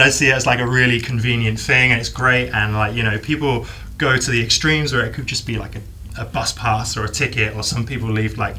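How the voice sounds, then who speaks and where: A man from the south of England with a Southern English accent, telling stories in a casual panel chat. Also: medium pitch. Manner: animated storytelling, rambling asides. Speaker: guest, videographer.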